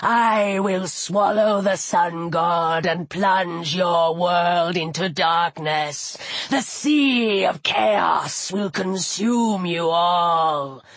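A woman voicing an ancient, evil male serpent of chaos with drawn-out, taunting menace, as in an audiobook. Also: fairly low pitch, dry recording, voice pushed down, exaggerated voice. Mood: menacing. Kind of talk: audiobook character voice.

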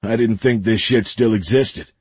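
Guy playing a gruff soldier in an audiobook, slangy and blunt, low husky voice. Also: fairly even intonation, normal speed, darkish tone, no room sound. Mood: casual bluff. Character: gruff, urgent male soldier bluffing and demanding. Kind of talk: audiobook character voice.

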